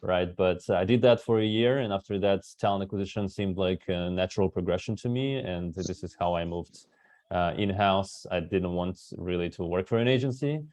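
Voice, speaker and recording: A man's voice: sits low, moderate pace, darkish tone, no room sound. A man from Kazakhstan, a native Russian speaker in his thirties, talking at length as a webinar guest and often tagging "right?". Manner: talkative, tags many sentences with "right?". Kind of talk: webinar talk.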